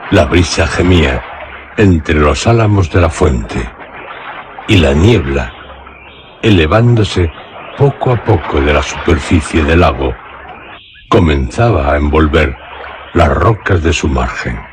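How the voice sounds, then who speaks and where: Very deep, slow male narrator. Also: expressive, background noise. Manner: measured, solemn. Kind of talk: scripted literary radio drama narration.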